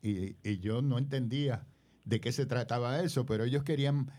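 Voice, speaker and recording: A man's voice: mid-range voice, moderate pace, darkish tone. An older man, a community leader speaking as a radio guest in a rambling way, full of hesitations.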